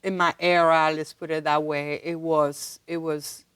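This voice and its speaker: A woman from Spain speaks as a guest in a casual interview. Her pitch is a little low. The sound is dry and close, as in a studio. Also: flat delivery, measured pace, a bit bright, clean tone, no echo. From Madrid, Spain. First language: Spanish. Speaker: interview guest, university director.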